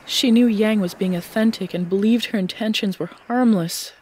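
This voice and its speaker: A woman's voice: mid-range voice, normal speed, a little mellow, breathy edge, no room sound. A woman reading scripted story narration as the narrator of an audiobook.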